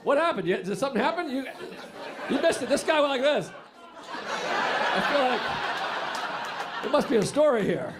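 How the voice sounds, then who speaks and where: High-pitched, animated stand-up comedian. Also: male voice, normal speed, long pauses. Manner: teasing, animated, laughing through his lines. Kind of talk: stand-up crowd work. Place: comedy club stage with a live audience. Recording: echoey club with loud crowd noise.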